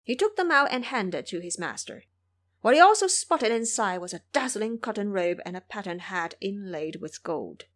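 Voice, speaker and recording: A woman's voice: medium pitch, animated, normal speed. A woman narrating a scripted audio drama as a storyteller.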